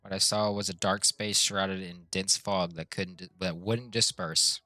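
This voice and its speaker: A woman's voice: rumbling low, steady tone, unhurried, pushed and bright. A woman livestreaming a game with casual, jokey commentary in slang, echoing dialogue into a close mic over game music. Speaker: live streamer. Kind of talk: casual gaming commentary, reading game lines aloud.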